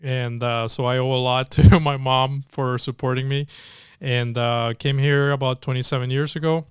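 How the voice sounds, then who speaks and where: Man with a flat, crisp dry voice. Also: mid-range voice, normal speed, no echo. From Venezuela. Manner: hesitant, many fillers and restarts. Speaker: tech entrepreneur guest. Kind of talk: casual interview storytelling.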